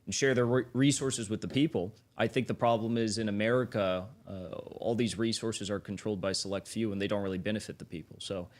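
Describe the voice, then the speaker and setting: Man, somewhat low voice, livestream guest, hesitant, restarts phrases. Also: fairly even intonation, brisk, few pauses. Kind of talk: political debate on a livestream.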